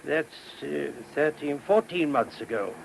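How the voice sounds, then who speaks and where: A man's voice: on the high side, expressive, measured pace, gravelly. A man playing an anxious, courteous monk in a radio play, hesitant and apologetic in manner. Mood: troubled, earnest. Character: anxious, courteous monk guiding a police inspector. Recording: noisy old radio recording.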